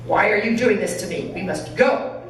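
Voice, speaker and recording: A woman's voice: medium pitch, normal speed, slight background noise, close to an everyday voice. A woman storyteller voicing a proud, anxious Mexican man who earnestly leads and urges his ox. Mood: exasperated. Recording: very echoey church, distant mic.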